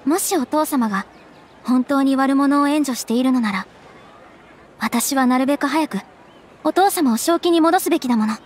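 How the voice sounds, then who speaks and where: A woman playing a gentle young noblewoman in an anime game, refined, composed and resolute, speaking politely over music. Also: sits high, normal speed, pauses often, a little hiss or hum, lightly acted. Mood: reassuring, resolute. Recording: background music behind voice.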